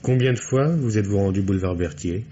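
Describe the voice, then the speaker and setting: A dull, noisy old radio recording carries the low voice of a man playing a senior French police commissioner. He is shrewd and ironic, choosing his words with patient, dry care in a mystery drama. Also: quick pace, warm tone, natural voice. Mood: probing.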